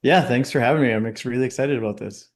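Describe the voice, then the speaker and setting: A man's voice: mid-range voice, quick pace, background noise. Laid-back Canadian man. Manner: relaxed, rambling, frequent "uh" fillers. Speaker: podcast guest, coach. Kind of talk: casual interview.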